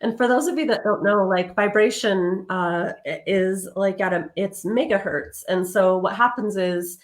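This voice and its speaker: A woman's voice: mid-range voice, normal speed. A woman hosting a casual spiritual podcast, chatting with a guest and explaining terms to viewers over a livestream. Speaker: podcast host. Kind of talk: casual spiritual interview chat. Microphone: livestream video call.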